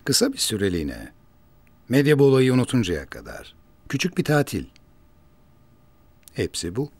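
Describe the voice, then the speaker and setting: An actor in his forties playing a composed wealthy businessman who talks his half-sister into a short break from the press, in a measured, persuasive way. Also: medium pitch, wildly swooping pitch, creaky, a little mellow, breathy edge, exaggerated voice. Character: composed wealthy businessman, protective older brother. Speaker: older brother in a rich family. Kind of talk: television drama acting. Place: office set.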